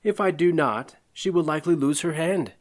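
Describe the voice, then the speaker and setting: A man's voice: fairly high pitch, moderate pace, natural voice. A man playing a kindly, devout old doctor in an audio drama, speaking in an earnest, courteous way. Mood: concerned, gentle.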